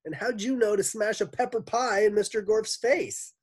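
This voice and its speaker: A reader plays bewildered schoolchildren in a children's storybook. The voice jumps up very high and loud, excited and exclaiming, with a slightly rough edge. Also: moderate pace, natural voice.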